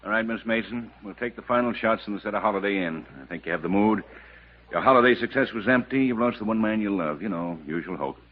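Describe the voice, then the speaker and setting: An actor playing a commanding, salesmanlike Hollywood film director in a 1950s radio drama. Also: male voice, fairly low pitch, quick pace, natural voice. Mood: brisk, commanding. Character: smooth-talking Hollywood film director, a man. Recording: dull, muffled 1950s radio recording.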